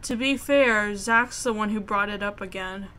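A woman's voice: mid-range voice, normal speed, sharp tone, clear, resonant tone. A woman reading a visual novel aloud as a gamer, mixing narration with sassy, casual commentary.

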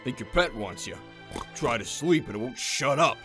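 A man voicing a grumpy, tired demon, gruff and curt, in a cartoon comic dub. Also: mid-range voice, expressive, normal speed, over music, lightly acted.

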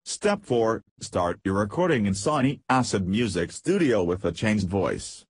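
Man, lowish pitch, rough-edged husky tone, scripted tutorial narration, measured instructional delivery, dry recording. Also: expressive, dry recording.

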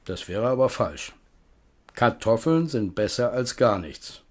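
An actor playing an evasive middle-aged tenant farmer who talks business in a smooth, guarded way in a radio play. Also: male voice, mid-range voice, animated, measured pace. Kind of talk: 1970s radio play acting.

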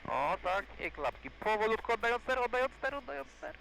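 A man working as a flight instructor, coaching calmly in an encouraging, repetitive way inside a small aircraft. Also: very high-pitched, fairly quick, vocal fry, low-quality recording. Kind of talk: hands-on coaching during flight. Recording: music behind, aircraft cabin audio.

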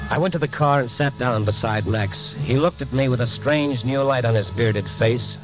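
A man's voice: mid-range voice, moderate pace, natural voice, rough recording. Man as American radio-drama newsman, music behind. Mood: somber, reflective. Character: world-weary, compassionate night-beat newspaperman. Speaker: lead character and narrator. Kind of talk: 1950s radio drama narration. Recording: vintage radio recording, loud music bed.

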